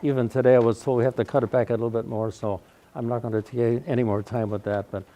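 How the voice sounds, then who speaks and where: Elderly man around ninety, mellow, warm low tone, guest speaker telling his story loudly without a microphone. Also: little pitch movement, quick pace. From Wausau, Wisconsin, USA. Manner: folksy storytelling, often clears his throat. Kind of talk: personal life-story talk to a live audience. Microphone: no microphone, recorded in the room.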